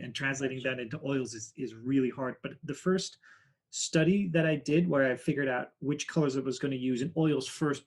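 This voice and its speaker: A man with a Dutch accent answering interview questions thoughtfully over a video call, often trailing off quieter. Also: medium pitch. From Netherlands. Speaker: guest artist.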